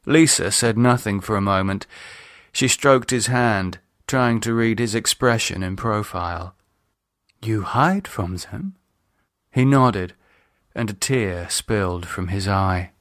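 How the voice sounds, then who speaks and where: Slow-paced male audiobook narrator, dry sound. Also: fairly low pitch, fairly bright tone, no echo. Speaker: author and narrator. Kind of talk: scripted audiobook narration of a horror novel.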